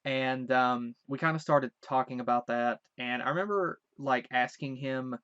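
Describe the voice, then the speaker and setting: A man's voice: medium pitch, moderate pace, fairly bright tone, clear, resonant tone, dry recording, lo-fi sound. A man from Virginia with a light Southern accent, casually recalling a trip in a rambling, hesitant way with many restarts. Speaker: vlogger. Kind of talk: casual recollection.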